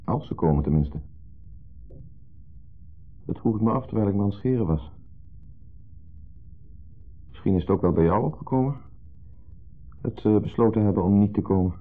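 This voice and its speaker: An actor playing an insecure, jealous husband in a 1970s radio play, hesitant, fretful and full of questions. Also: male voice, lowish voice, animated, normal speed, pauses often. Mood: anxious, fussing. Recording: muffled narrow-band 1970s radio recording.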